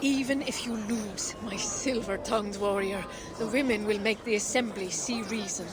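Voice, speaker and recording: A woman's voice: mid-range voice, normal speed, lightly acted. A woman playing a loyal, shrewd Viking wife in a video game, speaking with earnest encouragement. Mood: concerned, resolute. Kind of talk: dramatic video game acting. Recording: busy outdoor crowd and noise behind.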